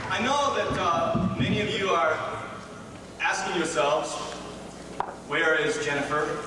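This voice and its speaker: A man with an American accent and a high voice plays the comic stage host. He speaks with theatrical mock solemnity, breaking phrases with pauses. He is heard from far off in a reverberant hall, with a loud audience around him. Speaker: host of a church Easter show. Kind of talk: comedic stage emceeing. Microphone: handheld-type mic on a stand, picked up from afar. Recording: echoey hall from far off, crowd noise.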